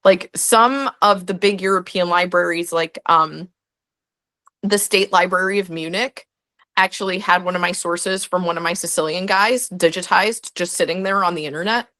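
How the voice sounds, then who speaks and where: A woman's voice: lowish voice, moderate pace, pushed and bright. A young woman, a guest historian, answering interview questions in a chatty way full of "like" and restarts.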